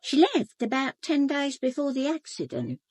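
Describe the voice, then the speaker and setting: An actress playing a gossipy, blunt English housekeeper in a British radio drama, chatty, colloquial and confiding. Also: female voice, high-pitched, very animated, moderate pace, a little mellow, dry recording, studio quality. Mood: knowing, gossipy. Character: gossipy, blunt, sharp-eyed housekeeper, a working-class woman. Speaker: actor in a radio murder mystery.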